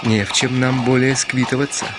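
An actor playing a weary, irritable gentleman in a Russian radio drama, impatient, emphatic and theatrical in manner. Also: mid-range voice, flat delivery, normal speed, over music, close to an everyday voice. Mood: firm, challenging. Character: weary, irritable gentleman, curt with an unwelcome rival.

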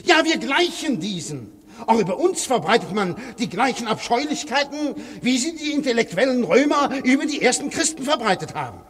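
An actor playing an evasive, self-righteous anarchist orator who declaims and falters when cornered, in a radio play. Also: male voice, very high-pitched, very animated, moderate pace, fairly bright tone, slightly rough, full character voice. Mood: earnest oratory. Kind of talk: German radio play acting. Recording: echoey hall with long reverb.

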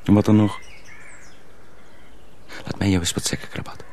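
A man playing a sly young miller's apprentice who poses as a simpleton, speaking confidingly and deliberately in a radio drama. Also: fairly low pitch, flat delivery, warm tone, lightly acted. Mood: earnest warning. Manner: deliberate, hesitant, confiding, repeats himself. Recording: faint background noise.

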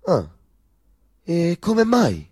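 A man's voice: a little high, huge pitch swings, drawn-out words, dark tone, slightly rough, exaggerated voice. Coarse, mocking Roman tough guy. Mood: blunt, teasing. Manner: coarse, blunt, teasing. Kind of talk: stage dialogue in dialect.

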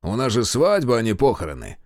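A man playing a calm, wry Soviet militia major who speaks in a dry, measured way with a hint of irony. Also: medium pitch, no echo. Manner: dry, measured, slightly ironic.

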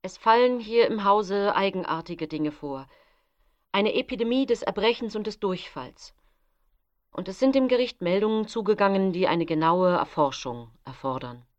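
An actress voicing formal, pointed characters from a nineteenth-century case in a radio play. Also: female voice, medium pitch, lively intonation, moderate pace, no echo, dull audio, lightly acted. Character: characters quoted in a 19th-century poisoning case, formal and sharp.

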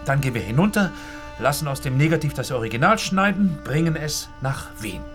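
A driven, commanding film director speaks with urgency. His fairly high voice moves lively up and down, with faint music behind. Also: fairly quick. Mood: urgent. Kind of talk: radio drama acting.

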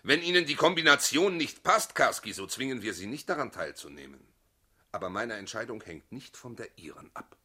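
Haughty aristocrat, piercing husky voice. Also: male voice, medium pitch, sing-song, brisk, bright, forceful tone. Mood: cool, pragmatic. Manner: haughty, formal. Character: haughty, pragmatic aristocratic male politician, coolly cynical. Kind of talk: German radio drama acting.

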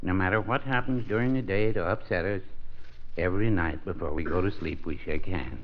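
A man's voice: sits low, fairly quick, lightly acted. An older man acting a wisecracking father, a former vaudevillian, in a 1950s radio comedy. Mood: wry. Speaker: supporting comic actor. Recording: muffled, noisy 1950s radio broadcast. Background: studio audience.

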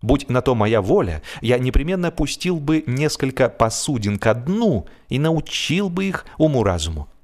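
A man's voice: medium pitch, sing-song, moderate pace, hardly any pauses, a little mellow. A man reading a humorous story as scripted audiobook narration, expressively, drawing out the ends of his phrases.

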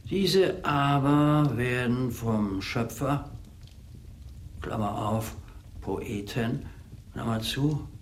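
A male actor in a German radio play, playing a self-important, pedantic man of learning who declaims in a deliberate way. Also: lowish voice, slight background noise.

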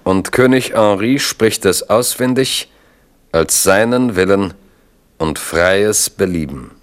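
A man narrating a historical chronicle in a literary, measured and vivid radio drama style. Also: fairly low pitch. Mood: narrative. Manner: measured, vivid present-tense telling.

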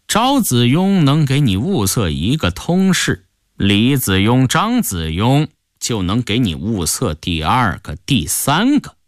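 A haughty high official of the imperial Chinese court, played by a male storyteller, suave and teasing. He takes his time over each phrase with lordly deliberation, the pitch swinging widely, in a very dry recording. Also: huge pitch swings, dry recording. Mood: teasing, pressing. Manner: slow, deliberate, lordly drawl.

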